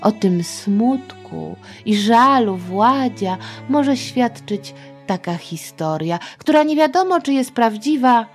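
A woman podcast host telling history stories for children in a chatty, playful, expressive way with little asides to the listener. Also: a little high, takes it slowly, soft background music. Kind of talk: informal history storytelling for children.

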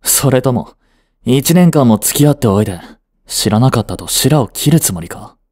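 A man's voice: mid-range voice, animated, normal speed, darkish tone, dry recording, clean studio sound, close to an everyday voice. A man acting an aloof, blunt but devoted young boyfriend in a romantic audio drama, curt and intimate. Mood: irritated, cold.

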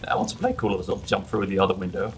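A man's voice: low voice, animated, very fast. A man in his thirties giving casual let's play commentary at home, speaking into a headset. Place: small room at home. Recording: clear background noise, keyboard typing.

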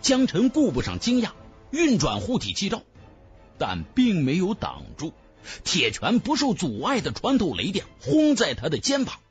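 A man's voice: high voice, wildly swooping pitch, moderate pace, breathy edge. A man narrating a fantasy novel as a dramatic scripted audiobook. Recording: music bed under the voice.

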